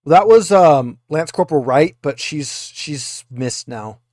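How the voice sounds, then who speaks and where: Higher-voiced man running a tabletop game. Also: expressive, takes it slowly, darkish tone, no echo, clean studio sound. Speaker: game master. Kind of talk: casual improvised tabletop game narration.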